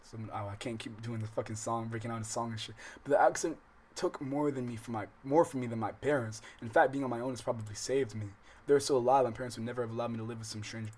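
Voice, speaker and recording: A man's voice: medium pitch, fairly even intonation, fast, a little mellow. An American man livestreaming a visual novel, reading the text aloud and breaking off into profane, slangy, casual commentary. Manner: slangy, profane, digressive, interrupting himself while reading. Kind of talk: casual let's-play commentary with read-aloud game text. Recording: music playing under the voice. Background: game soundtrack music.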